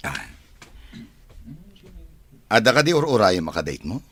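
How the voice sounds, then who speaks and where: A man playing a shy, hesitant bachelor son in an Ilocano comedy radio drama, with a husky voice and an Ilocano accent. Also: deep voice, sing-song, a little slow, fairly bright tone, a little hiss or hum, exaggerated voice. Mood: flustered. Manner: hesitant, stammering. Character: well-off bachelor son, shy and bashful with women.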